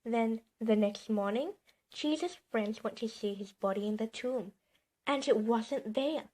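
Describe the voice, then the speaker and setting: Husky, high-voiced woman reading a children's story. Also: unhurried, dark tone. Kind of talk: read-aloud bedtime story narration.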